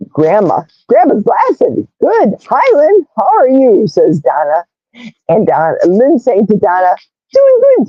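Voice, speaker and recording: A woman with a loud, raspy, brash voice hosting a casual livestream, reading chat comments aloud and greeting viewers. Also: mid-range voice, dry recording, highs cut off. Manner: animated, reads comments aloud, squeals greetings. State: hoarse, vocal fry.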